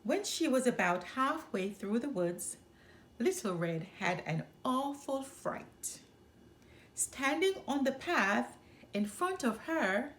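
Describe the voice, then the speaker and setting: Slow, very expressive woman storyteller. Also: fairly high pitch. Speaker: storyteller and channel host. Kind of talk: expressive children's story narration.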